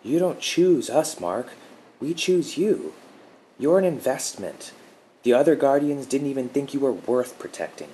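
A man voicing a sweet-talking female dark guardian spirit, soothing and gently mocking. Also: medium pitch, very animated, a little slow, a little hiss or hum, pitched above the performer's own voice, rough recording. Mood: possessive.

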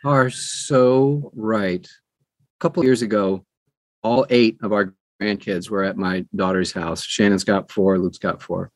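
A man in his sixties chatting as an interview guest, telling personal stories in an animated way and acting out quoted dialogue. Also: on the low side, unhurried, no echo. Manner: animated storyteller who acts out quoted dialogue. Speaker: guest, audiobook narrator. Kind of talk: conversational personal storytelling.

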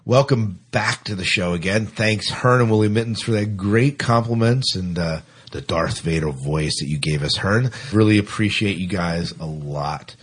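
A man hosts a casual podcast in a fairly low, husky voice. He talks conversationally, often doubling back on words as he searches for the next one. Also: moderate pace, hardly any pauses. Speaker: podcast host; teacher. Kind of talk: casual co-hosted podcast chat.

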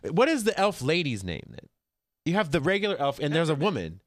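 Hoarse chatty man hosting a talk show. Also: a little high, brisk. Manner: chatty and animated, laughing often. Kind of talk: casual studio chat. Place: studio with a small live audience. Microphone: mic'd up in studio.

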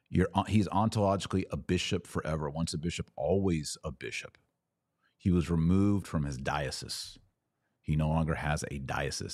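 A man's voice: rumbling low, darkish tone, no room sound, clean studio sound. An American man hosting a live commentary podcast, answering comments in a blunt, opinionated way and repeating phrases for emphasis.

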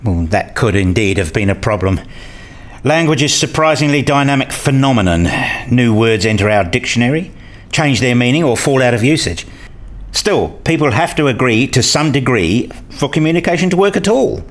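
Man acting animated, curious academic researcher. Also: medium pitch, moderate pace, close to an everyday voice. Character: curious, self-deprecating male academic researcher and father. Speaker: narrator and lead character in a radio play. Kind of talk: scripted radio play dialogue and narration.

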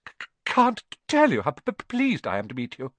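A man's voice: on the high side, wildly swooping pitch, a little fast, fairly bright tone, no room sound, voice pushed up, heavily acted. A man voicing a pale, nervous young male professor with a heavy stammer in a storybook reading. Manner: heavy stammer, timid and muttering.

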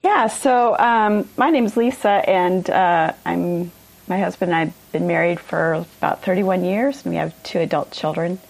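A woman, introducing herself as a guest slowly and haltingly. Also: on the low side, gravelly, darkish tone, poor audio. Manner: slow, halting, with fillers. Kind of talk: personal introduction in conversation.

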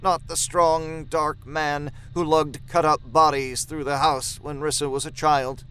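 A man's voice: on the high side, little pitch movement, measured pace, pushed and bright. A man narrating a horror story from a script.

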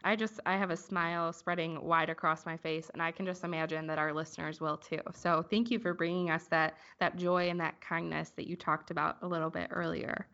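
A woman's voice: lowish voice, steady tone, a little fast, few pauses, fairly bright tone. A woman hosting an interview podcast in an encouraging, effusive manner. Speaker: podcast host. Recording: slightly dull lo-fi sound.

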